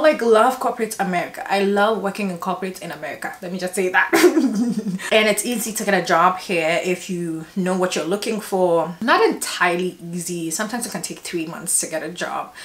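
A woman's voice: mid-range voice, roomy sound. A woman in her twenties with a Ghanaian accent, chatting emphatically and laughing often while doing her makeup on camera. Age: 20s. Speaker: beauty vlogger. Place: small indoor room.

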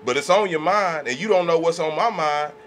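A man with a fairly high, sharp voice talks in a small podcast studio. He debates casually and bluntly, leaning on slang and repeated tag phrases. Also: brisk, a little hiss or hum. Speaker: podcast co-host. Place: small makeshift home studio, indoors. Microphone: podcast mics on boom arms.

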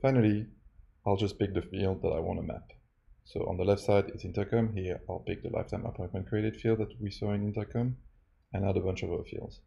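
A man narrating a step-by-step software tutorial as a demo presenter. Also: low-pitched, fairly even intonation, fairly quick, creaky, dull audio. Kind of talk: scripted tutorial narration over a screen recording.

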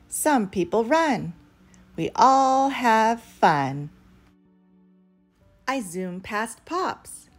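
Expressive woman reading to children, slow pace. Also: medium pitch. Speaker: read-aloud storyteller for children. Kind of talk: expressive picture-book read-aloud for young children. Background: background music.

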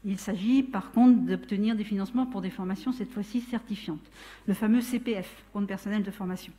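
A woman's voice: mid-range voice, brisk, dark tone. A middle-aged woman gives a conference talk at a lectern in a large darkened hall, explaining step by step and often restarting her sentences. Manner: explanatory, with frequent restarts and self-corrections. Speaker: training consultant speaking at a trade fair. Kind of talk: conference presentation.

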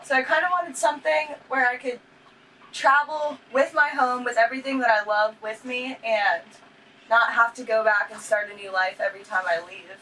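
Young woman from saipan chatting easy in a boat cabin, kinda high voice, far from the mic with some noise. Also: moderate pace. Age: 20s. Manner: relaxed, laid-back with fillers. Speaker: boat owner and licensed captain. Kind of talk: casual interview answers. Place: small wooden boat cabin. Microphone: camera across the table. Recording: distant camera mic with background noise.

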